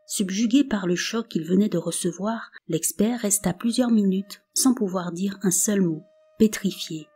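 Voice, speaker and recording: A woman narrating a folk tale in a calm, measured storybook reading with soft phrase endings. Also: mid-range voice, slightly rough. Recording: narration over faint background music.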